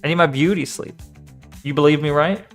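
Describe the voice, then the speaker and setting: A man streaming and chatting casually, animated, swearing and talking back to the screen. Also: fairly high pitch, brisk, faint music behind. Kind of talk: casual live-stream chat and video reaction.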